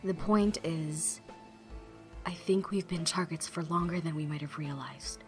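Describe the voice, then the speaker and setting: An actress plays a serious young woman survivor with a low voice. She speaks deliberately and guardedly, as in an apocalypse audio drama, with music prominent behind her. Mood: grave, measured.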